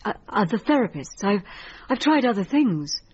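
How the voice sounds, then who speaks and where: Anxious patient, radio play, halting, husky, mid-pitched, slightly dull recording. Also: female voice, big pitch swings, darkish tone. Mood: anxious, confessing. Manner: halting, nervy, searching. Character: anxious, hesitant woman patient, a panicky mother. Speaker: actor in radio drama. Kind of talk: radio drama acting.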